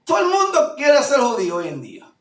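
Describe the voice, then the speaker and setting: A male pastor from Puerto Rico with a Puerto Rican accent, preaching a fervent sermon that swings between loud declarations and low asides. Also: huge pitch swings, fairly quick. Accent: Puerto Rican Spanish. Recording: echoey room, voice from far off mic.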